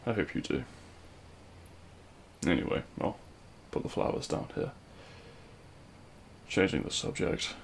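Male actor as lovelorn supervillain, very creaky voice, hushed and halting, wistful, audio roleplay, faint noise. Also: sits low, big pitch swings, heavily acted. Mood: tender, subdued. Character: regretful lovelorn male supervillain addressing his fallen hero. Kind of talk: scripted ASMR audio roleplay monologue.

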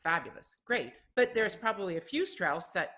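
Bright-voiced, emphatic woman on a video call. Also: mid-range voice, animated, normal speed. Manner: brassy, emphatic, playful. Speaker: co-host. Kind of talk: casual chat on a video call.

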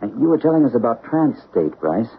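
An actor playing an edgy, sceptical husband in a 1970s radio drama. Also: male voice, medium pitch, sing-song, fairly quick. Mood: curious. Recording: tinny, hissy old radio recording.

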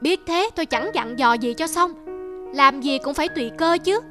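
Lady doing bossy old fishermans wife, folk cartoon, high voice, naggy, music behind her. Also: moderate pace, close to an everyday voice. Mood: scolding. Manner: emphatic, nagging. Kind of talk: cartoon folk tale dialogue. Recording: music under the voice.

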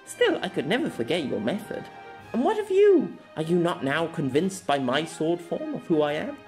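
A man voicing a confident, playful young noblewoman swordfighter in an audio story, soft-spoken but commanding, with grand archaic phrasing. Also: fairly high pitch, huge pitch swings, normal speed, faint music behind, pitched above the performer's own voice. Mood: teasing, confident.

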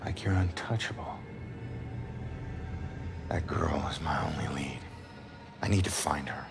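An actor playing a gruff, brooding middle-aged ghost detective in a video game, terse and world-weary, with a gravelly voice. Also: male voice, rumbling low, unhurried, exaggerated voice. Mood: bitter, brooding. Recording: music under the voice.

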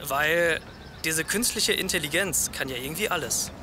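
Man acting friendly street buddy, high voice, colloquial slang, audio drama, clear traffic noise. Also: normal speed, lightly acted.

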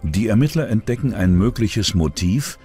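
A man's voice: lowish voice, expressive, moderate pace, dark tone, soft background music. A man narrating a scripted true-crime documentary.

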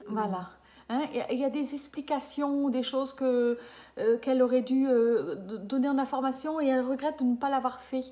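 A woman's voice: high voice, normal speed, dark tone. A woman in her fifties, a medium giving a reading over webcam, hesitant with many fillers and checking in with her listener. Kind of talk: live reading session with a client. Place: small room indoors. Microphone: webcam at desk.

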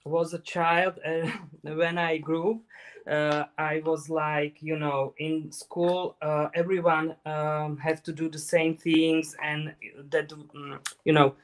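A man demonstrating a craft project on a live stream, talking slowly and haltingly with many fillers. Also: fairly high pitch, level tone. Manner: hesitant, many fillers, halting non-native phrasing. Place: craft table indoors.

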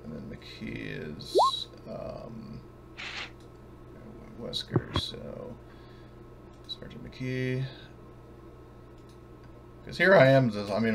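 Hesitant low-voiced man running a tabletop game. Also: gravelly, a little hiss or hum. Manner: hesitant, halting. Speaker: game master. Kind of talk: casual tabletop game hosting.

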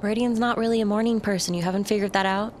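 A woman's voice: mid-range voice, fairly even intonation, racing, darkish tone, a little hiss or hum. A woman playing a tabletop game, chatting in casual in-character banter.

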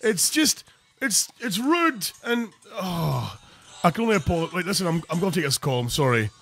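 Lively high-pitched Scottish comic host. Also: male voice, moderate pace, vocal fry. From Scotland. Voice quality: crude jokey, animated delivery. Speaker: comedy cooking show host. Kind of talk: comic cooking narration.

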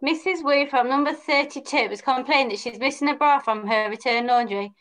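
A young woman plays a cheeky, lazy pantomime boy. The voice is high, with a questioning, lightly acted delivery. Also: a little fast, a bit bright. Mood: offhand. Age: young adult. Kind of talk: pantomime script read-through. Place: room at home, video call.

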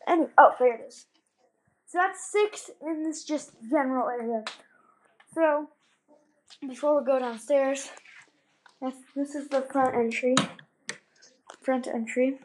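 A woman's voice: sits high, a little slow, pauses often, darkish tone, a little hiss or hum, low-quality recording. A young girl filming a house tour on a handheld phone indoors at night, rambling and playful with sudden exclamations. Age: child. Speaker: vlogger. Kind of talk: house tour vlog, casual chat. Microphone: handheld phone camera.

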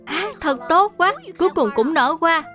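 A woman's voice: very high-pitched, moderate pace, bright, forceful tone, faint music behind. A woman voicing a sweet, earnest little boy in a dubbed cartoon, polite and pleading. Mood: delighted.

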